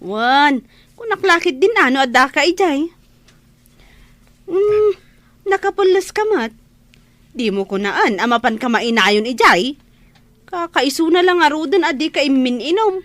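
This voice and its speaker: A woman's voice: high-pitched, animated, unhurried, pauses often, fairly bright tone, no room sound. A woman acting a fretful young woman nagging her older brother in a Filipino radio drama, with a Filipino accent. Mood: chiding, concerned.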